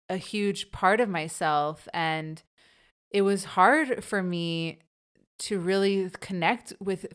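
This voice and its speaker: A woman who stutters, hosting a personal interview with her mother in a reflective, halting way. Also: fairly low pitch, fairly bright tone, clear, resonant tone. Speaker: podcast host.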